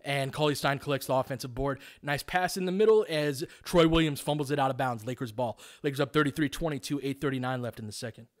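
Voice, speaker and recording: A man delivers live basketball commentary in a middle-of-the-road pitch. He uses short, clipped phrases, mixing play-by-play with informal, analytical breakdowns of the action. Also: fast talker, hardly any pauses.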